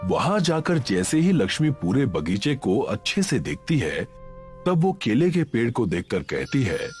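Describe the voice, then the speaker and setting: A male storyteller narrates a scripted tale with very lively intonation. His mid-pitched voice sits over faint music. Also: a little slow.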